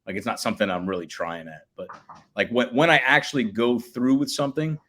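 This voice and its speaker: A man in his thirties, a public address announcer with a booming voice, chatting casually in an interview. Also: a little fast, pushed and bright. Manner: booming voice, slips into announcer calls.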